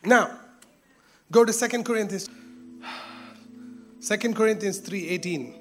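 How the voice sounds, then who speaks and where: A man preaching a sermon with emphatic repetition, rising to exclamations and dropping to hushed, intense tones. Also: high-pitched, a little slow, slight background noise. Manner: emphatic, repeats phrases, drops to hushed tones.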